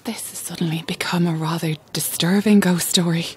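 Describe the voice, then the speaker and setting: An actress playing a curious, cheeky young Irish chorus girl who is easily spooked, with a breathy voice, in an audio drama. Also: fairly low pitch, steady tone, brisk. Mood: spooked, disbelieving. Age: young adult.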